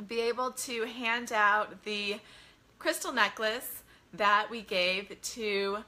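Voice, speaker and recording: A woman's voice: medium pitch, steady tone, takes it slowly, sharp tone. A woman hosting a casual live bedtime-reading stream as a children's author, chatting to viewers in a gushing, enthusiastic way. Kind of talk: casual livestream chat with viewers.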